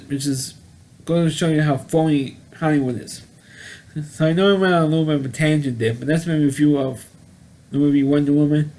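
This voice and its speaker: Higher-voiced man rambling through a review. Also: darkish tone. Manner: halting, repeats words and phrases, rambling. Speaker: amateur film reviewer, video host. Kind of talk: casual unscripted monologue review.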